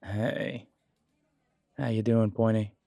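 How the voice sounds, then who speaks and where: A man playing a loyal young male werewolf alpha in an audio roleplay, wry and protective, with a low-key, halting delivery. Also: flat delivery, creaky, warm tone, no echo. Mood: wry, teasing.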